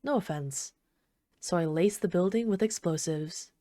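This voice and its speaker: A woman playing an obsessive, sweetly menacing supervillain in an ASMR roleplay, soft-spoken, doting, possessive and taunting. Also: fairly low pitch, measured pace, no echo, clean studio sound, lightly acted. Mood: smug, scornful. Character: obsessive yandere female supervillain, sweetly menacing, adoring her captive.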